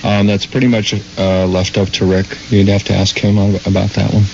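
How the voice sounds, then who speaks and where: Soft-spoken male musician on the radio. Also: lowish voice, flat delivery, a little fast, creaky. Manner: friendly, hesitant, lots of "um" and "uh". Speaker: musician guest. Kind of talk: radio call-in interview. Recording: dull lo-fi radio broadcast with noise.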